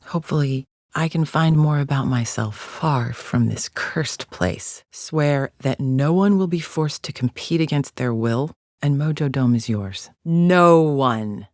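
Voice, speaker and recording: A woman's voice: low-pitched, unhurried, few pauses, darkish tone, no room sound, studio quality. An actress playing a proud, disdainful alien arena champion in a video game, a cold warrior woman with stiff, formal, haughty diction. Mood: solemn, measured. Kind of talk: scripted video game character lines.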